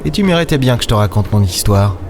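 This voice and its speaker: A man playing a weary, sardonic space captain in an audio drama, speaking in a measured, wry storytelling way. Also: lowish voice, animated. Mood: wry, bitter. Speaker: lead character and narrator. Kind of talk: audio drama acting and first-person narration. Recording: music behind the voice. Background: music.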